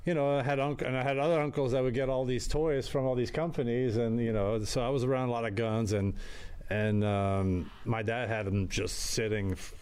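A man's voice: mid-range voice, fairly even intonation, moderate pace, darkish tone, clean tone. A man from Belgium, a podcast guest, telling a rambling story and laughing at his own mishaps. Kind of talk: casual storytelling.

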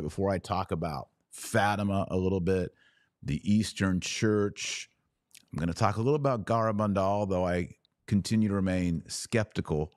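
Podcast host, male, American, low register, dry sound, conversational and wry, talking solo in an informal commentary style. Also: darkish tone, no echo. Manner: conversational, informal, wryly speculative asides. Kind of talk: casual solo commentary on livestream.

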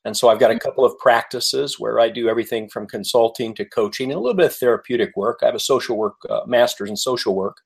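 An American man speaking as a podcast guest in a conversational interview, measured and polite with pauses. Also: mid-range voice, vocal fry, slightly rough. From United States. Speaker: podcast guest, author and coach.

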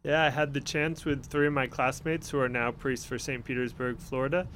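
A man's voice: mid-range voice, fairly even intonation, a little fast, fairly bright tone, a little hiss or hum, rough recording. A man, a priest visiting as a podcast guest, telling of his walk with dry, wry humor. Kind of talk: casual chat.

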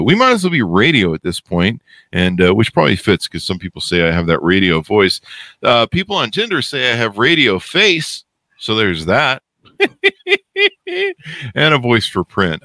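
A man's voice: deep voice, fairly bright tone, no echo. A man hosting a podcast in a casual, jokey, rambling way with a playful, self-deprecating manner. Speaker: podcast host.

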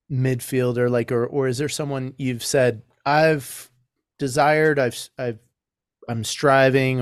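Man, team chaplain, smooth resonant voice, dry close sound, rambling questions. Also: mid-range voice, dry recording. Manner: rambling, long questions with restarts. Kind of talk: casual interview.

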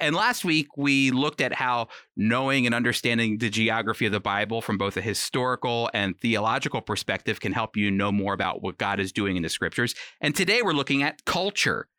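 A man hosting a radio Bible program, teaching in a conversational, earnest and emphatic way with deliberate repetition. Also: mid-range voice, sharp tone, no echo, clean studio sound.

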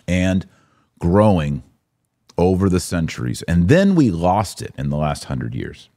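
A man's voice: low voice, a little mellow. A man hosting a podcast, giving opinionated live commentary in an emphatic way, with rhetorical questions and asides to his listeners. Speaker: podcast host.

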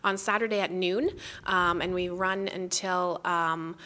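A woman's voice: on the low side, fairly even intonation, unhurried, bright, forceful tone, slight background noise. A woman being interviewed as an event organizer, chatting informally and with enthusiasm. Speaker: festival organizer, interview guest. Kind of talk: casual conversational interview.

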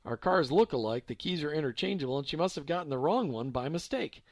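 A man's voice: fairly high pitch, expressive, fast, no room sound. A man voicing a polite, patient father who keeps trying to explain a mix-up. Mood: musing. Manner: earnest, polite, repeats himself. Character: patient middle-aged father, baffled but polite.

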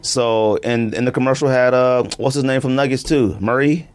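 A man's voice: mid-range voice, fairly even intonation, moderate pace, a little mellow, clear, resonant tone. A man hosting a casual sneaker podcast, talking in an opinionated, teasing way. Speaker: podcast host.

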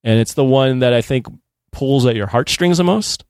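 A man's voice: medium pitch, moderate pace, a little mellow, clean tone, no echo, studio quality. A man in his thirties co-hosting a podcast, talking casually about films. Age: 30s. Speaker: podcast co-host. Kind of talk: casual film discussion.

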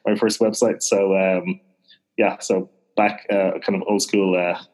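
A man's voice: low-pitched, level tone, measured pace, darkish tone, rough recording. A man with a mild Irish accent, chatting as a podcast guest, hesitant with many fillers and restarts. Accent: mild Irish (Dublin). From Ireland. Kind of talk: casual interview chat.

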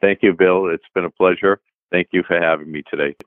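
Boston guy guesting over the phone, deep voice, kinda hesitant. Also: steady tone, brisk, no echo, soft background music. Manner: thoughtful, hesitant. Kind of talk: casual interview. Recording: tinny phone line.

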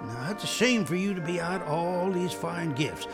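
A man's voice: high voice, expressive, normal speed, music in the background. An actor playing an apologetic, eager-to-please frontier townsman with a rustic American drawl in a Western radio drama. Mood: sheepish.

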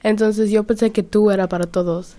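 A woman's voice: medium pitch, fairly even intonation, fairly quick, mellow. A teenage girl, a student, talking casually in a group discussion and steering the conversation with questions. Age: teens. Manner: chatty, leads the talk, asks others questions.

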